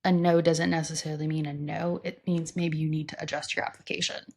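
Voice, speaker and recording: Lower-voiced young woman. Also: level tone, brisk, darkish tone. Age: young adult. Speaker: podcast co-host. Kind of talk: casual conversational podcast. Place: indoor room with patterned wallpaper. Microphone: studio mic on stand, wearing headphones.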